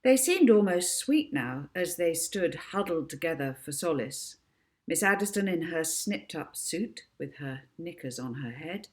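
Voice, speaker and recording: A woman reads a children's story aloud as its author. Her voice is on the low side and very lively in pitch, with expressive audiobook narration. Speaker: author reading own book.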